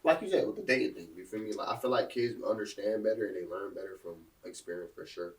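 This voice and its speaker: A young man with a fairly low voice talks fast in a relaxed, teasing way during a casual chat. He sounds a little distant from the mic.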